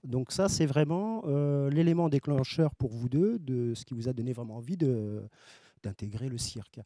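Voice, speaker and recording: A man's voice: medium pitch, animated, normal speed, mellow, dry recording, clean studio sound. A man interviewing two circus performers, asking questions politely and with some hesitation.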